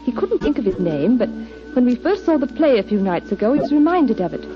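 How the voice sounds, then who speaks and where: A woman's voice: a little high, big pitch swings, brisk. An actress playing a genteel, anxious young lady client, polite and earnest, in 1940s radio drama style. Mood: earnest, worried. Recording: dull 1940s radio, music behind.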